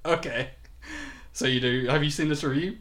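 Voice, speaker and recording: A man's voice: a little high, moderate pace, a bit bright. A man co-hosting a podcast in casual chat, telling stories animatedly and laughing often. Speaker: podcast co-host.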